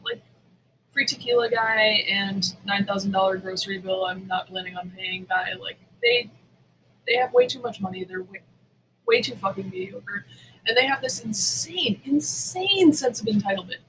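A woman's voice: mid-range voice, very animated, moderate pace, a bit bright, a little hiss or hum, low-quality recording. A woman co-hosting a casual chat, speaking emphatically and indignantly, swearing freely and repeating words for stress. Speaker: podcast co-host.

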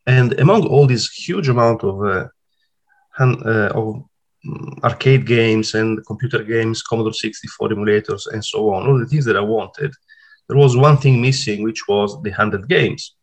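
A man in his forties from Italy with an Italian accent, speaking as an interview guest in a relaxed, hesitant way with many fillers and restarts. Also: on the low side, moderate pace. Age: 40s. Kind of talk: casual interview talk.

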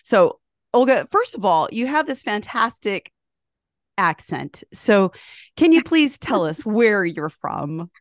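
A woman's voice: medium pitch, sing-song, takes it slowly, dry recording, clean studio sound. A woman hosting a podcast interview, talking in a chatty, rambling, friendly way. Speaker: podcast host. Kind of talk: casual interview chat.

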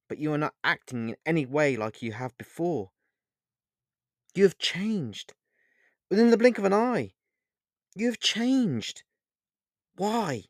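A man voicing a distraught man in a fantasy story, pleading and accusing with emotional, halting speech. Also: mid-range voice, no echo, studio quality, voice pushed up, natural voice. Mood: hurt, accusing. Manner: emotional, halting, repeating words. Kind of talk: dramatic fantasy storybook dialogue.

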